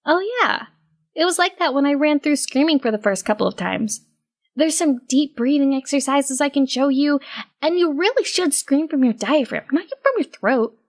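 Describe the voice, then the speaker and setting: A woman puts on a young female pony from a cartoon-based story. The voice is very high and worked up. She rambles and fusses frantically. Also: expressive, normal speed. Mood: eager rambling.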